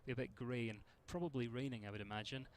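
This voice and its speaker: A man's voice: mid-range voice, steady tone, quick pace, a little hiss or hum. A man from Scotland with a Scottish accent, giving chatty live commentary on a show jumping event and greeting viewers by name.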